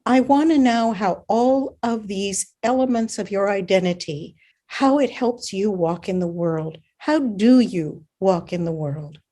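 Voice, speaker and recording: A woman's voice: medium pitch, unhurried, mellow. A woman hosting a radio interview show from Hawaii, speaking with enthusiastic, emphatic praise for her guest.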